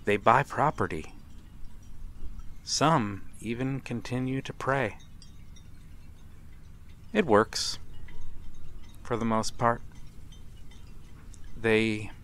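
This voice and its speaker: A man playing a courtly, brooding vampire stranger, menacing and seductive, speaking softly and deliberately in an ASMR roleplay. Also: on the low side. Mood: grave, ominous. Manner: soft, deliberate, theatrical, with breathy whispers. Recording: steady ambient noise, harbor soundscape.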